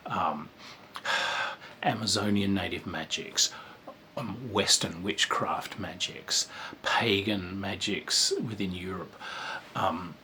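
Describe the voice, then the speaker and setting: A man's voice: sits low, sharp tone, slight background noise. A man, a game designer giving a casual spoken review of a tabletop game, rambling with frequent ums and restarts.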